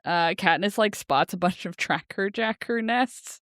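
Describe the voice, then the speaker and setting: A woman's voice: mid-range voice, measured pace, a bit bright, dry recording, studio quality. A woman co-hosting a podcast, chatting casually about a book in a jokey, questioning way. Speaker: podcast co-host. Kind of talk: casual book discussion chat.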